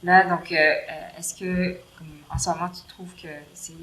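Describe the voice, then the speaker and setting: A woman's voice: lowish voice, little pitch movement, unhurried, a bit bright, poor audio. A woman interviewer, chatting in a loose, engaged way with fillers. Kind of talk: casual chat.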